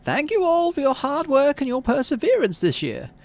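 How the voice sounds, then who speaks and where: A man's voice: huge pitch swings, normal speed, gravelly, full character voice. A man voicing a proud female governor with a thin, weedy voice, making a formal speech in a narrated game. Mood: proud, stately. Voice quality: thin, weedy, high falsetto. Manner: stately speechmaking.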